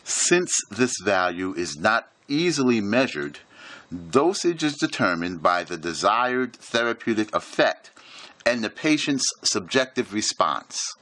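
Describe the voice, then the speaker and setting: Guy reading a scripted lecture, formal and measured, bright forward voice, really dry sound. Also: on the low side, big pitch swings, no echo. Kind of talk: scripted instructional narration.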